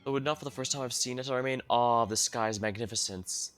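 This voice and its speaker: A man's voice: medium pitch, quick pace, fairly bright tone, clean tone, dry recording, soft background music, lightly acted. A man voicing a courteous video game traveller who speaks in formal, old-fashioned phrases. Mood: awed.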